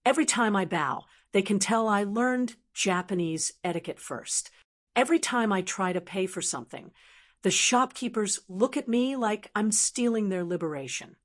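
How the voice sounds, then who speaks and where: A woman voicing an anxious young woman in an audiobook, speaking quietly and defensively. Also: medium pitch, expressive, normal speed, lightly acted. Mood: strained. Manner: quiet, strained.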